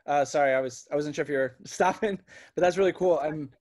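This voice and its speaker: A man's voice: a little high, quick pace, a little mellow. A man in his thirties hosting an interview on a video call, casual and upbeat with fillers. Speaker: host. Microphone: webcam on a video call.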